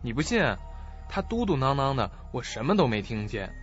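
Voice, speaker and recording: A man's voice: mid-range voice, very animated, normal speed, highs cut off, natural voice. A man playing a greedy, crooked lawyer in a suspense radio play, with an oily, ingratiating manner. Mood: evasive. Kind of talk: audio suspense drama acting. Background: music.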